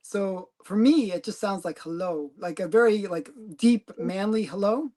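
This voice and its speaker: A woman's voice: medium pitch, moderate pace, mellow. Chatty giggling woman hosting a livestream. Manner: chatty, giggles often, rambling asides. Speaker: host of a comic collecting channel. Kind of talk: casual livestream chat.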